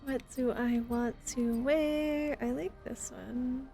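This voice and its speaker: A woman's voice: high voice, fairly even intonation, slow, gravelly, dark tone. A woman chatting casually and expressively as she plays a game live, with a playful manner. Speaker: game streamer. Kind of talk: casual live gameplay commentary. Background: game music and sounds.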